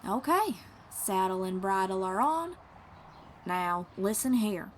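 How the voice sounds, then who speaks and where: Woman acting an unhurried, encouraging young female bard. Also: a little high, slight background noise. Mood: playfully scolding. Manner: encouraging, gently instructive, folksy. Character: kind, patient young woman bard teaching horse riding. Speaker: voice actor in an audio roleplay. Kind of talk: audio roleplay acting, speaking to the listener.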